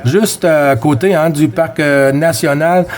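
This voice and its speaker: A man with a Quebec French accent chatting casually as a CB radio operator, friendly, with halting phrasing and repeated words. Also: a little high, fairly even intonation, darkish tone. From Quebec, Canada. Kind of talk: casual radio chat with callsigns.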